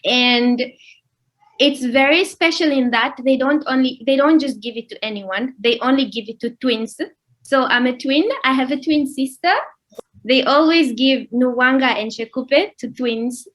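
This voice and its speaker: A young adult woman from Namibia, a guest in a casual interview who explains things with pauses. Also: high voice. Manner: relaxed, explains with pauses and laughs.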